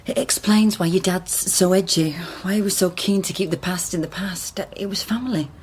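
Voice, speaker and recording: A woman's voice: medium pitch, normal speed, a little mellow, husky, natural voice. An actress playing a wry, guarded woman investigator in a naturalistic radio drama. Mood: frank, earnest. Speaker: lead actor in a radio drama.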